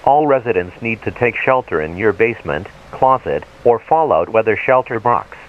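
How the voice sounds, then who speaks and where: A man's voice: lowish voice, expressive, normal speed. A man's synthetic-sounding voice delivering a scripted emergency alert in a formal, impersonal way. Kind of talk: scripted emergency broadcast announcement. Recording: dull lo-fi radio sound, faint noise.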